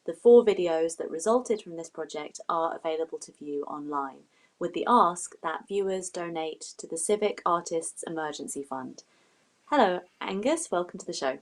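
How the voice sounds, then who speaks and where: Woman, show host, slightly low pitch, live interview presenting. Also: expressive, moderate pace, low-quality recording.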